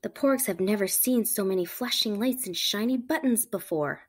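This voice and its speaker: A woman reading a picture book aloud to children, with animated, emphatic storytelling delivery. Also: medium pitch, normal speed, husky. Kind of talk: expressive read-aloud narration for children.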